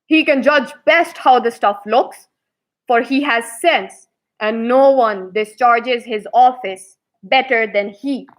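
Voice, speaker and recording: A woman's voice: on the high side, slow. A woman voicing a vain, self-assured emperor in a children's fairy tale. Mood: musing.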